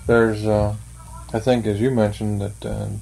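Older man, gravelly and flat, slow hesitant talker; faint noise on the tape. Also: fairly low pitch. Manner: slow, hesitant, thoughtful, many fillers. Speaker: interviewee, church member. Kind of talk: oral history interview.

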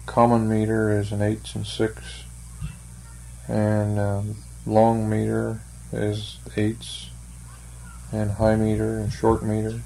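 Older man, gravelly and flat, slow hesitant talker; faint noise on the tape. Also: lowish voice, rough recording. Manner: slow, hesitant, thoughtful, many fillers. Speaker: interviewee, church member. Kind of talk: oral history interview.

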